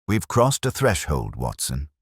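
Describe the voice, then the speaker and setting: Guy reading as intense victorian detective, really deep husky voice, clipped dramatic lines, audiobook style. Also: wildly swooping pitch, measured pace, no echo, studio quality, heavily acted. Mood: grave, tense. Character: Victorian male detective, incisive, intense, theatrical. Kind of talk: audiobook character dialogue.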